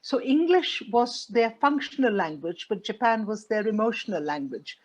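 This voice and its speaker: A woman's voice: a little high, animated, normal speed, low-quality recording. Middle-aged Indian woman, thoughtful. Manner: thoughtful, many fillers. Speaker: guest. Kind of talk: interview.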